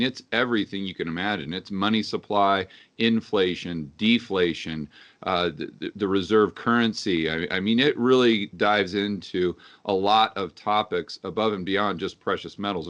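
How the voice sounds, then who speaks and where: A man's voice: on the low side, fairly bright tone. A man hosting a casual interview show, asking enthusiastic follow-up questions.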